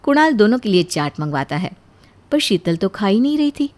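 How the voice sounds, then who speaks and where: A woman reads out a scripted family tale as narrator of an animated video. Her voice sits at a middle pitch for a woman. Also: normal speed.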